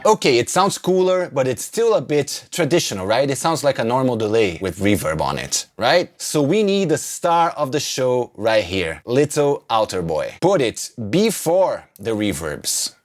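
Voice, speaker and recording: A middle-aged man teaches in a relaxed, chatty tutorial style, with a mid-range voice that swings widely in pitch. He is self-deprecating and jokey, often checking in with rhetorical questions. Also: moderate pace, hardly any pauses. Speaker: music production teacher. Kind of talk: casual tutorial narration.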